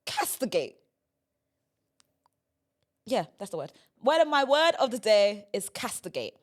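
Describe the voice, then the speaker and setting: A woman's voice: on the high side, sing-song, a little slow. A woman hosting a podcast in casual comedic chat, with a playful, teasing, mock-earnest way of talking. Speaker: podcast host.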